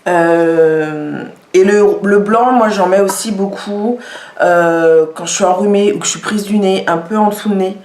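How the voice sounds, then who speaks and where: A woman's voice: medium pitch, unhurried, darkish tone. A woman showing products in a casual vlog, talking with many hesitations and fillers. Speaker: vlogger. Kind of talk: casual product show-and-tell. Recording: echoey room.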